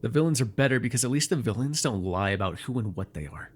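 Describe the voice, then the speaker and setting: A man playing a controlling, vengeful supervillain in an immersive ASMR roleplay, taunting and giving orders in a deliberate, mocking way. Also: mid-range voice, expressive, breathy edge. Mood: controlled, commanding. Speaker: voice actor.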